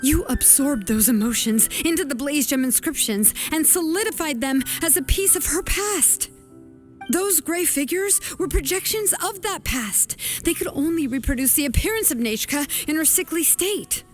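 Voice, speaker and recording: Grave young woman, high-pitched voice. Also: lively intonation, faint music behind. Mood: grave, sorrowful. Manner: grave, deliberate, reproachful. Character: earnest, stern young woman confronting her aunt. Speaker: voice actor. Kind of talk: dramatic video game dialogue.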